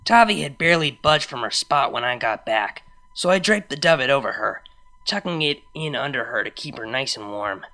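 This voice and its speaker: Bright, forceful young unicorn mare, as a reader plays her. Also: mid-range voice. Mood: amused disgust. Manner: casual, expressive, confiding. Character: young female unicorn narrator, wry, brash, fond of her partner. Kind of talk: first-person fan fiction reading, conversational.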